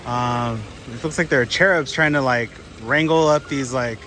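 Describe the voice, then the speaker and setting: A man vlogging a walking tour outdoors on city streets. He is slightly hoarse from a cold and rambles casually, thinking aloud with lots of fillers. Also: medium pitch, normal speed, sharp tone. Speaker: travel vlogger. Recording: street noise behind the voice.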